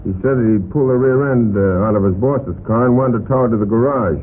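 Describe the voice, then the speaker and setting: A man's voice: mid-range voice, brisk, lightly acted. An actor playing an earnest, forthright and slightly defensive city fireman in an old-time radio crime drama. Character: earnest male city fireman under police questioning. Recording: tinny, noisy vintage radio recording.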